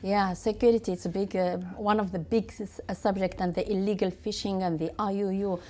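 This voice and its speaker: A woman from Tunisia answering interview questions in quick, halting full of restarts and fillers. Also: mid-range voice, steady tone, darkish tone. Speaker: guest.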